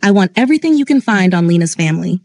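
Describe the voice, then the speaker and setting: A woman voicing a commanding male crime boss who speaks in a measured, controlled way. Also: lowish voice, expressive, a little mellow, no room sound, clean studio sound.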